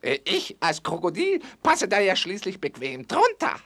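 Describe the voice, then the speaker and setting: A man's voice: high-pitched, wildly swooping pitch, moderate pace, a bit bright, full character voice. Eager male crocodile, children's audio play. Good-natured, extremely sing-song delivery. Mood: eager, matter-of-fact.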